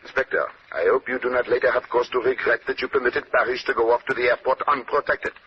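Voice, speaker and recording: An actor playing a polite, self-assured foreign detective with a French accent and formal, courtly phrasing in a radio drama. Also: male voice, mid-range voice, fairly even intonation, quick pace, close to an everyday voice. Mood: composed, courtly. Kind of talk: vintage radio drama acting. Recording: dull, noisy old radio broadcast.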